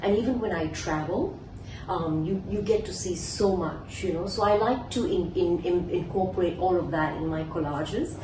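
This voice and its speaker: A woman speaks a little low, chatty and eager, reeling off long lists as she answers. She sits back from the mic in a reverberant room with a faint murmur of ambience behind her. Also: moderate pace, far from the mic, background noise. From Singapore. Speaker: guest, collage artist. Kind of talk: casual interview answers.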